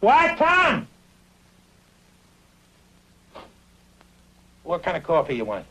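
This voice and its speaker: Bright, high-voiced stubborn skeptic. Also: male voice, big pitch swings, a little fast, full character voice, poor audio. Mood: casual. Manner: casual, contradicting, matter-of-fact. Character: skeptical, stubborn male construction worker humoring his workmate. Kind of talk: improvised conversational dialogue in an animated short.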